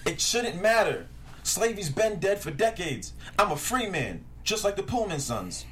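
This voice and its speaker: Actor as earnest young man, lively intonation. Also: high voice, moderate pace, a little hiss or hum. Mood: pleading, defiant. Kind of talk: table read acting.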